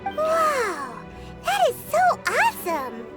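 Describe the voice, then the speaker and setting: A woman voicing a small childlike fairy companion in a video game, excitable, blunt and curious. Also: very high-pitched, slow, full character voice. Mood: amazed. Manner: wide-eyed, exclamatory, blunt. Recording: loud background music.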